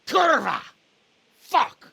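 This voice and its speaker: Gravelly, wildly animated medieval nobleman. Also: very high-pitched, very slow, heavily acted. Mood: exasperated, furious. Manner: blustering, blunt, sweary. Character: foul-mouthed, hot-tempered medieval nobleman, middle-aged. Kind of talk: dramatic video game cutscene acting.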